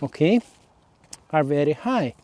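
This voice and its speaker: A man's voice: a little high, expressive, a little slow, darkish tone, dry recording. A man with an Italian accent, a native Italian speaker, talking casually to his followers in a solo vlog, hesitant, with many fillers, often checking in with "okay?". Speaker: vlogger.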